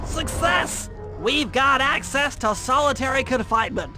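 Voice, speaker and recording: A man's voice: extremely high pitch, animated, a little slow. A man voicing a brainy, cautious animal sidekick in a cartoon video game, explaining things precisely and earnestly. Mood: triumphant. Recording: music behind the voice.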